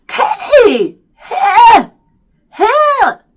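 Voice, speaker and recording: A woman's voice: very high voice, sing-song, very slow, voice pushed up, heavily acted. Woman voicing a muffled, wailing, panicked boy. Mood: panicked pleading. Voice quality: muffled, mumbling as if mouth full. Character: older boy stuck by lips, mumbling, panicked crybaby.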